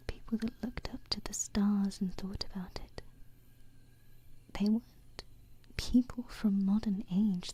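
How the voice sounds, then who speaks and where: A woman voicing a gentle, wistful alien princess in a breathy whispered ASMR roleplay, close to a binaural mic. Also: medium pitch, close to an everyday voice. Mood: reflective. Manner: slow, tender, reflective, with pauses. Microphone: close binaural microphone. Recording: close-up whisper with faint background noise.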